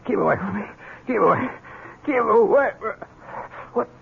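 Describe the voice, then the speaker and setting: A man's voice: high-pitched, animated, normal speed, gravelly, exaggerated voice. An actor playing a frightened, defensive man with secrets, stammering and agitated in a 1970s radio drama. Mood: panicked, confused. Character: Frank, frightened, defensive fiancé hiding secrets. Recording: noisy, tinny old radio recording.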